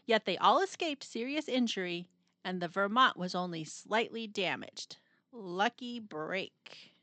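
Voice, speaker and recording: Woman, children's read-aloud narrator, bright voice, highly animated pitch, dramatic delivery, suspenseful pauses. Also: no echo. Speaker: storybook narrator.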